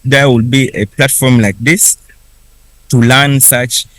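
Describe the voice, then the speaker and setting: Young man, native Kiswahili speaker, unhurried testimony in English, mid-pitched, dry recording. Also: steady tone, a bit bright, no room sound. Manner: unhurried, with frequent restarts and pauses. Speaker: refugee speaker at a conference. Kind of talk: personal story told slowly.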